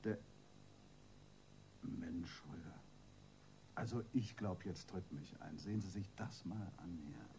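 Seasoned police superior, radio crime drama: male, dark low voice, colloquial, sardonic, musing. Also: slow pace, vocal fry, pauses often, slight background noise, heavily acted. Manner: colloquial, dry, musing, with tag questions.

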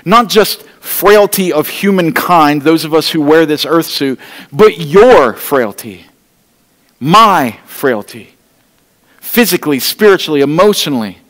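A middle-aged man preaches to his congregation in an earnest, pleading tone, his voice sitting a bit high for a man. The sound carries the long reverb of a church hall. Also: animated, measured pace, a bit bright. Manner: walks the stage holding an open Bible. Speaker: pastor. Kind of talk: sermon. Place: church stage, large room indoors.

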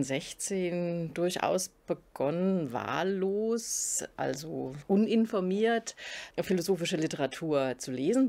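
A middle-aged woman professor from southern Germany, answering interview questions to camera in a studio, thoughtful and often pausing to search for words. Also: fairly low pitch, a little mellow. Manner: thoughtful, searching for words, frequent hesitations and restarts. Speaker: philosophy professor. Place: indoor studio before a curtain.

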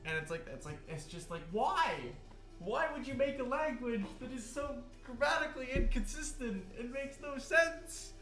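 A man's voice: very high-pitched, animated, normal speed. A young Canadian man chatting casually on a live cooking stream, rambling with lots of fillers and restarts. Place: home kitchen. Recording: roomy kitchen sound with faint music.

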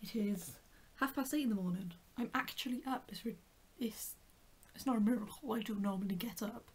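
A young woman with a croaky voice, chatting casually and rambling a little as she vlogs into a handheld camera. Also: on the high side, brisk. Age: young adult. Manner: rambling, chatty, self-correcting. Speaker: vlogger. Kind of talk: casual vlog chat to camera. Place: home bedroom, then a car. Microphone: handheld camera at arm's length. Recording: background noise from the car.

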